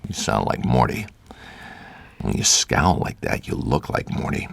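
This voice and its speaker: Flippant musician, husky gravelled bass, wry banter for radio drama. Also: male voice, big pitch swings, unhurried, full character voice. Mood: flippant, teasing. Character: flippant, teasing jazz musician.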